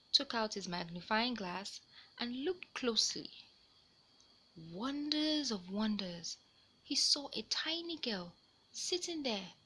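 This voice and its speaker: Female storybook reader for a kids' read-aloud: high, animated, expressive and deliberate. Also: breathy edge, poor audio. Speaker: host reading stories aloud for children.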